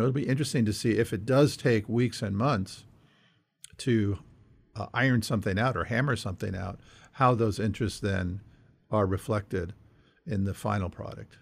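A man hosting a casual discussion, talking in a measured, thoughtful way. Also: on the low side, vocal fry. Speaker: podcast host.

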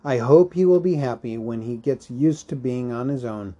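A man's voice: mid-range voice, normal speed, dark tone, muffled recording, natural voice. A man voicing an elderly hobbit in a storybook reading, fretful, stubborn and possessive, with a querulous, insistent manner. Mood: wistful, resolute.

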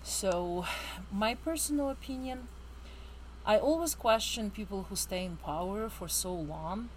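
A woman from central Ukraine, a guest in an interview, explaining things in a measured way. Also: medium pitch, lively intonation, a little mellow, slight background noise, rough recording. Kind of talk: casual interview conversation.

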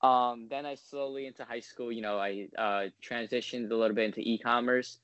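A man's voice: mid-range voice, fairly even intonation, fairly quick, fairly bright tone, clean tone, no room sound, highs cut off. A man in his twenties, a guest in a casual interview, talking in a rambling way full of ums and likes. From United States. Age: 20s.

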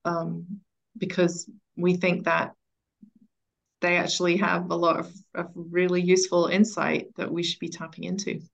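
A woman with a voice a little lower than average, talking as an expert guest in an interview. She explains ideas deliberately and conversationally, in measured phrases. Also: completely flat delivery, creaky, muffled recording. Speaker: interview guest, education author and coach.

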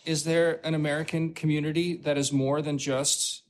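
A man co-hosting a podcast, building arguments in halting starts with repeated words and quick emphatic agreements. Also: a little high, little pitch movement, slight background noise. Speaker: podcast co-host. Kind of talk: casual intellectual discussion.

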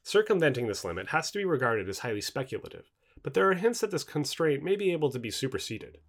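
A man reading scripted nonfiction as an audiobook narrator, with formal, measured enunciation. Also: medium pitch, very animated.

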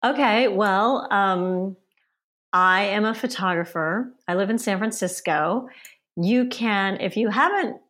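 A middle-aged woman, a photographer born in Sweden and raised in the United States, talking in a casual, unhurried way with frequent pauses and restarts. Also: mid-range voice, a bit bright. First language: Polish. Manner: unhurried, hesitant, many ums and restarts. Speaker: guest, photographer. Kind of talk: casual interview chat.

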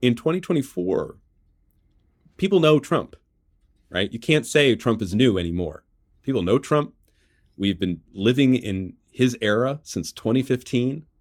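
A man speaking as an interview guest, laying out political analysis conversationally in short, measured phrases, checking in with rhetorical questions. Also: medium pitch, animated, darkish tone. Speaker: journalist and author, interview guest.

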